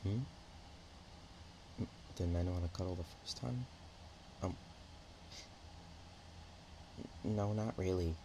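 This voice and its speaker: A man voicing a shy, playful young dragon-dog girl in an ASMR roleplay, speaking softly and haltingly with naive affection. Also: low voice, noisy background. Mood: bashful, naive. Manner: hesitant, halting, giggly, soft-spoken. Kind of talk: ASMR roleplay, one-sided intimate chat.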